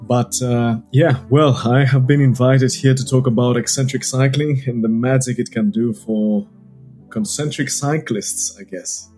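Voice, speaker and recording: A man from Greece with a Greek accent, a scientist guest calmly explaining things step by step in an interview. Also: medium pitch, unhurried, faint music behind. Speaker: podcast guest, exercise scientist.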